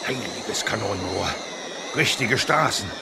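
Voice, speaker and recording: A man's voice: fairly low pitch, expressive, a little slow, very noisy. A man in a German audio drama playing a blustering, incredulous army general in space, given to exclamations. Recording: music clearly behind the voice. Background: music.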